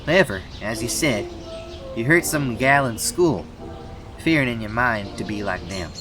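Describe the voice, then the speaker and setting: A man's voice: medium pitch, expressive, normal speed, reverberant, in a large hall, music in the background, close to an everyday voice. A man voicing an indignant young boy bunny in an amateur cartoon dub, with a folksy, sassy drawl. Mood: scolding. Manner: folksy, sassy, scolding drawl with y'all and darn. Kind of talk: scripted amateur comic dub dialogue.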